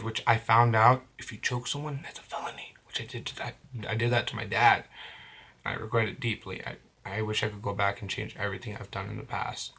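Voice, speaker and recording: Hoarse young man rambling through a story. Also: on the low side, bright, forceful tone. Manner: rambling, halting, frequent restarts and self-corrections. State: hoarse from a cold. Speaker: vlogger. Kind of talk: confessional storytime monologue. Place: at home.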